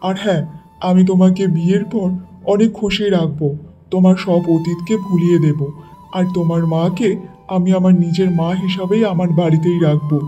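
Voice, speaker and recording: Cartoon role, male actor: sincere boss in love, mellow, very high-pitched, distant dull sound with light music. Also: fairly even intonation, close to an everyday voice. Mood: earnest, tender. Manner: earnest, gentle, deliberate. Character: earnest office boss courting his cook. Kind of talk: Bengali cartoon story acting. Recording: muffled, distant, music behind.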